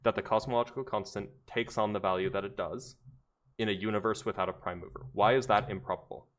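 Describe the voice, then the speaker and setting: A man hosting a live debate stream, pointed and quick to cut in and redirect. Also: on the low side, fairly even intonation. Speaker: debate show host.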